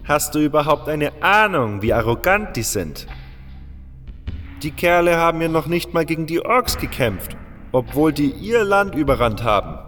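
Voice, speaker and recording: A man puts on a blunt, worried mine-guard leader, gruff and exasperated, in a homemade audio drama. The voice sits well above his own, with a long hall reverb and faint music underneath. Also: on the high side, sing-song, moderate pace, a bit bright, pitched above the performer's own voice. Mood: scornful.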